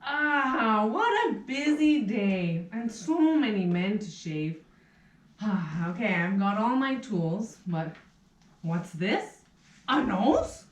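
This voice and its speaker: A woman's voice: medium pitch, expressive, slow, far from the mic. A woman voicing a meek, flustered male barber for a puppet play, hesitant and apologetic, in a roomy rehearsal recording. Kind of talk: puppet theatre acting.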